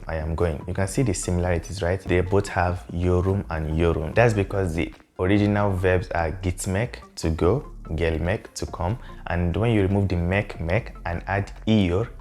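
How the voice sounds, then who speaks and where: Young man, Yoruba native, deep voice, friendly explainer, lapel mic in a small room. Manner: relaxed, friendly explaining, slows to spell out words. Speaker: language vlogger. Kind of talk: explanatory talk to camera.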